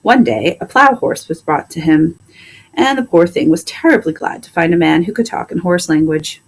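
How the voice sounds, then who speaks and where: A woman reading a children's story aloud as an expressive storyteller. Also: fairly low pitch, normal speed, poor audio.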